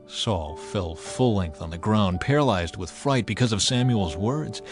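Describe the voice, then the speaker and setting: A man narrating scripture in a measured, even reading. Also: lowish voice, music in the background.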